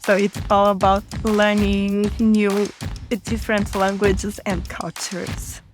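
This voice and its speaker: A woman's voice: medium pitch, little pitch movement, slow, over music. A woman from Brazil with a Brazilian accent and Portuguese as her native language, talking conversationally as a guest in an interview. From Rio de Janeiro, Brazil. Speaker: podcast guest, author and podcaster.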